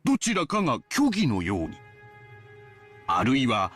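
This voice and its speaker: An actor playing a talkative, self-satisfied mystery enthusiast in a Japanese visual novel, explaining with theatrical relish. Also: male voice, medium pitch, wildly swooping pitch, fast. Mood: relishing explanation. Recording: game audio with clear music behind.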